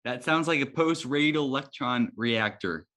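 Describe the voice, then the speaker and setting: A man's voice: mid-range voice, animated, moderate pace, sharp tone. A man playing an earnest, brainy young rookie superhero with a hesitant manner, at a script table read. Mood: explanatory.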